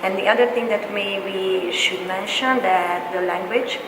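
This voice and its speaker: A young woman from Hungary with a Hungarian accent gives casual advice as an exchange student, speaking in a hesitant, halting way. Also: medium pitch. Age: young adult. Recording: echoey hall with loud background noise.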